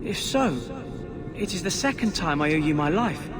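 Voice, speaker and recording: High-voiced, earnest young man, played by an actor. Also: expressive, normal speed, over music, lightly acted. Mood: wondering, resolute. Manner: earnest, searching. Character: earnest, vulnerable young male half-elf dreamer. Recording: noisy game ambience.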